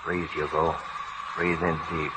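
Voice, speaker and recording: An actor playing a gruff, menacing middle-aged man out for revenge in a 1930s radio drama. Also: a bass voice, little pitch movement, unhurried, heavy background noise. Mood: menacing, gloating. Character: bitter, vengeful middle-aged tough guy running a gas tank. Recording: old 1930s radio recording with music.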